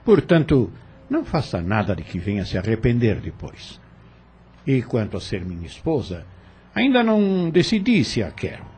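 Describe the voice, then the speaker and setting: A husky, menacing plantation overseer. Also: male voice, mid-range voice, huge pitch swings, moderate pace, darkish tone, full character voice. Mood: threatening. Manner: sneering, domineering, insinuating. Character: menacing, arrogant plantation overseer, contemptuous of women. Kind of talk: radio drama acting.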